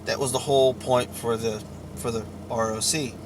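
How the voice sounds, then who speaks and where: A man giving a rambling personal account as a vlogger, halting between short phrases. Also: mid-range voice, steady tone, background noise.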